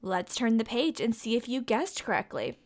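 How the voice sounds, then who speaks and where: Lively, sing-song woman reading to kids. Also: fairly high pitch, moderate pace. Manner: sing-song, coaxing questions to young listeners. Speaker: children's storytime reader. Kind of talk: reading a picture book aloud to children.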